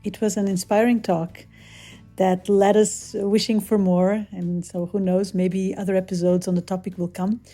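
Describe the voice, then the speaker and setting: A woman from Portugal, hosting a podcast interview over a remote call, friendly and quick to laugh. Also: medium pitch, little pitch movement, soft background music. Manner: friendly, laughs often, warm welcomes. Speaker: podcast host. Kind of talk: scripted intro then casual interview.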